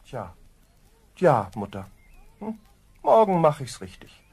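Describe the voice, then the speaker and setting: An actor playing a lazy, slow-witted young farm lad in a children's fairy-tale radio play, musing in sluggish, self-satisfied hums. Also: male voice, on the high side, big pitch swings, heavily acted. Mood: sleepy.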